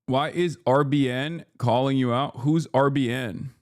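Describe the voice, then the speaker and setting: A man in his thirties from Turkey, streaming and riffing on chat messages in a loud, joking, slangy way with plenty of swearing. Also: mid-range voice, fairly even intonation, unhurried, no room sound, studio quality. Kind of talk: casual livestream chat.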